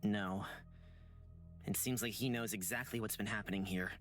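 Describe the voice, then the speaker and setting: A man's voice: lowish voice, fairly even intonation, normal speed, fairly bright tone, faint music behind, close to an everyday voice. A man voicing an earnest, timid young man in a visual novel, hesitant and easily flustered into stammering.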